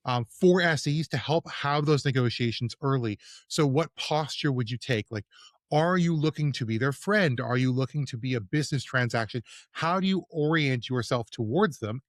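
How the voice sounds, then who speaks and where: A man's voice: mid-range voice, dry recording, studio quality. A man from Canada hosting a casual podcast interview, chatty and hesitant and stumbling over his words as he asks long questions. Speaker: podcast host.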